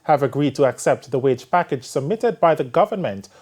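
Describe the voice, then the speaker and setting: A man's voice: mid-range voice, expressive, fairly quick, a little mellow. A man with a Jamaican accent reading the news from a script as an anchor.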